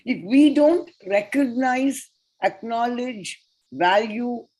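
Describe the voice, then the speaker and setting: A woman whose first language is Tamil, presenting an academic paper at an online conference in a measured, deliberate way. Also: on the high side, animated, darkish tone, low-quality recording. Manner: measured, deliberate lecturing with enumerated points.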